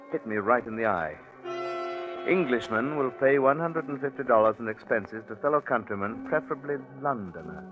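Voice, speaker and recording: Actor as British gambler, tinny old radio. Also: male voice, mid-range voice, natural voice. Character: desperate English racetrack gambler, smooth-talking, resigned confessor. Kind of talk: 1940s radio acting, first-person crime narration. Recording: tinny, band-limited 1940s radio recording.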